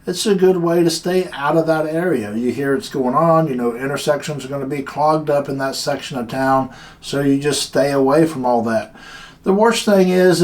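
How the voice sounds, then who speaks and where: A man who runs a security company, telling a story from work and giving guards earnest, plain-spoken warnings. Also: a little high. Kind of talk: casual instructional talk.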